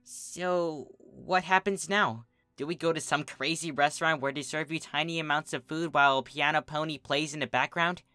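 A man voicing a brash, excitable, insecure tomboy pony in a fan story reading. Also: on the high side, moderate pace, clean tone, dry recording, soft background music, voice pushed up. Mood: hopeful, questioning. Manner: cocky yet vulnerable, excitable.

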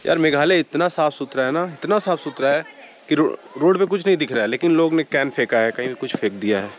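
A man's voice: a little high, fast talker, slight background noise. A man vlogging his trip in a casual, chatty way, mixing in English exclamations as he walks outdoors and into a cave. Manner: chatty, mixes English phrases, excited exclamations. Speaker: travel vlogger. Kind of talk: casual travel vlog narration. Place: outdoors and inside a limestone cave.

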